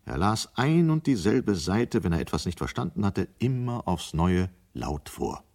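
A man's voice: deep voice, very animated, measured pace, darkish tone. A man narrating a scripted story as the storyteller of a 1970 German radio drama.

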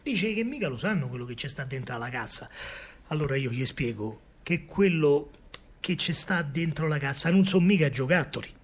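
An actor playing a coaxing, protective working-class man of wartime Rome, narrating colloquially in a husky voice with a Roman accent, as in a radio drama. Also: fairly high pitch, normal speed. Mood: coaxing, sly. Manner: colloquial, animated storytelling. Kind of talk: dramatic first-person radio monologue in dialect.

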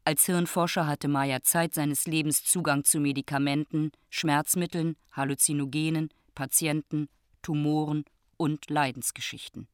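A woman's voice: sits low, steady tone, moderate pace, clear, resonant tone, dry recording, clean studio sound. A woman narrating a scripted literary radio drama in terse, rhythmic, repetitive phrases.

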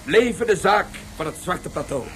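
High-pitched military commander. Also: male voice, animated, normal speed, fairly bright tone, a little hiss or hum. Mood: rallying, solemn. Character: stern male patrol commander rallying his troops. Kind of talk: Dutch radio drama acting.

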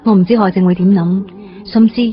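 An actress playing a tender, wistful young woman in a radio drama, speaking softly and sincerely with a Hong Kong Cantonese accent. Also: mid-range voice, steady tone, fairly quick, natural voice. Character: tender young woman, heart-transplant survivor, newly in love. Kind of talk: radio drama monologue and dialogue. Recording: slightly muffled, faint music underneath.

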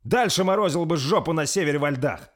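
A man's voice: mid-range voice, animated, unhurried, voice pushed up. A man voicing an arrogant, hot-tempered young prince who barks out orders in a storybook reading. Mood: furious. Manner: imperious, shouting orders.

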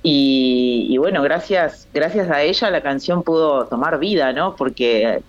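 A woman's voice: low-pitched, measured pace, highs cut off. A woman from Córdoba province in Argentina, speaking with a Santa Fe accent, chatting as a singer-songwriter guest, hesitant and full of restarts. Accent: Santa Fe Argentine Spanish. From Córdoba province, Argentina, near Santa Fe. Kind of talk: phone interview, casual chat.

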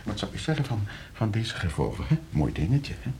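A man's voice: fairly low pitch, very animated, a little fast, warm tone, slight background noise. An actor playing a polite, scheming professor in a radio play, precise in his speech but prone to fluster. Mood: coolly instructing. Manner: polite, precise when instructing, stammering when questioned. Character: scheming, flustered professor plotting against his uncle for inheritance.

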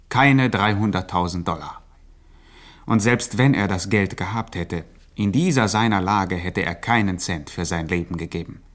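A man narrating a scripted satirical radio play, deadpan and mock-learned. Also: deep voice. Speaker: second narrator.

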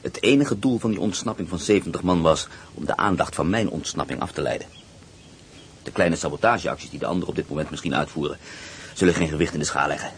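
An actor playing a calm, scheming escaped prisoner officer in a radio drama, speaking in a deep, measured way. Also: male voice, a little hiss or hum. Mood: cold, confident.

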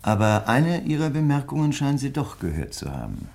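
An actor playing a grieving crime writer in a German radio play, speaking in a measured, reflective way with polite insistence. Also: male voice, medium pitch, wildly swooping pitch, vocal fry, darkish tone. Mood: probing, insistent.

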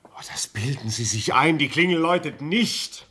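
An actor playing a rational, concerned gentleman in a radio play, speaking in an emphatic, admonishing yet reassuring manner. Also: a little high, lively intonation, moderate pace, breathy edge, full character voice. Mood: sceptical. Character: rational, concerned gentleman urging a troubled friend to reason. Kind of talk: German radio play acting.

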